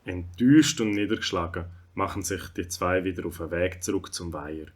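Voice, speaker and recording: A man's voice: deep voice, fairly quick. A man with a Swiss German accent narrating a scripted children's audio story.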